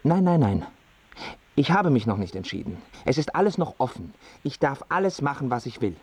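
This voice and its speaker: An actor in a radio play playing a self-absorbed, precocious, stubborn boy who tells his own life earnestly and deliberately. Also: mid-range voice, sing-song, a little mellow, breathy edge. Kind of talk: literary radio play monologue and dialogue.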